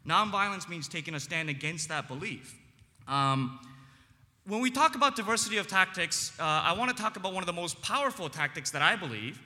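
Man, big bright voice, earnest panel debater with many ums, long hall reverb. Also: high voice, animated. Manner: earnest, frequent ums, emphatic slowed phrases. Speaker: panel speaker. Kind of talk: impassioned public debate speech.

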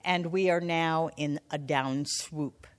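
An older woman, a veteran broadcast journalist, speaking on a panel in a slow, deliberate way with dramatic emphasis. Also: low voice, steady tone. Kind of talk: panel talk. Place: conference hall.

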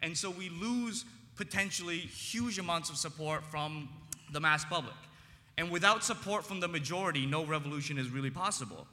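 Man, big bright voice, earnest panel debater with many ums, long hall reverb. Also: a little high. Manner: earnest, frequent ums, emphatic slowed phrases. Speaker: panel speaker. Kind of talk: impassioned public debate speech.

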